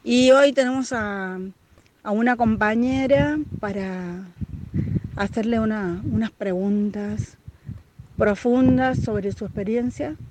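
A woman's voice: fairly high pitch, takes it slowly, a little mellow, a little hiss or hum, low-quality recording. A woman interviewing a guest for a radio show in an informal way, using affectionate diminutives.

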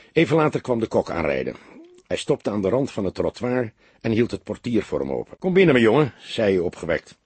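Husky, expressive male audiobook narrator. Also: lowish voice, dry recording. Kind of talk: expressive scripted storytelling of a crime novel.

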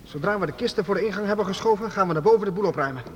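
A man's voice: high voice, very fast, lo-fi sound, natural voice. Een man speelt een trouwe, beleefde jonge leerling in een hoorspel, met een hese stem. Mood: eager. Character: loyal young male apprentice, eager and polite. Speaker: apprentice. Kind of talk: radio play acting.

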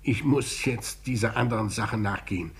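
An actor playing a brisk, courteous but harried police commissioner in a 1950s German radio play, clipped and businesslike. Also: male voice, lowish voice, natural voice. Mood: patient, weary.

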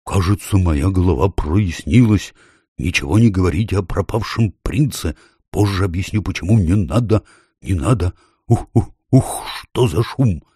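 A man's voice: low voice, animated, normal speed, dark tone, husky, no room sound, studio quality, full character voice. A man voicing a fussy, earnest female talking owl in a storybook, given to hooting. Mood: conspiratorial.

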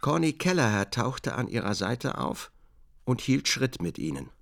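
A man's voice: mid-range voice, very animated, measured pace, gravelly, a bit bright. A man reading scripted literary narration for a radio drama.

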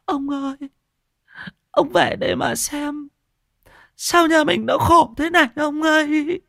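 A woman playing an old grandmother in an audio story, lamenting her family in a tearful, drawn-out way. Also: sits high, gravelly, fairly bright tone, no echo, clean studio sound, heavily acted. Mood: despairing.

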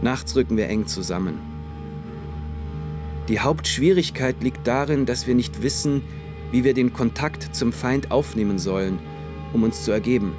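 A man's voice: medium pitch, normal speed. Sober male narrator over music. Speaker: radio play narrator. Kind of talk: scripted first-person literary narration.

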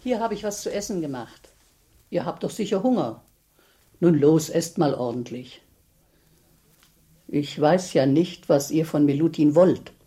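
Deep, mellow village landlady. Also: husky, full character voice. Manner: homely, emphatic. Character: plain-spoken, protective village widow and landlady. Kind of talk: radio play acting.

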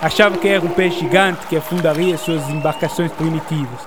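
A man playing an affable, learned gentleman writer in a radio drama, explaining things in a genial, formal way. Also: a little high, fairly quick, background noise, lightly acted. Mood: didactic.

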